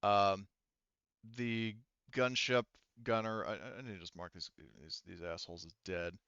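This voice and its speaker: A man with a fairly deep, clear voice runs a tabletop roleplaying game as game master. He thinks aloud and pauses often as he narrates. The headset recording is dry and slightly dull. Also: fairly even intonation, bright, forceful tone, dry recording.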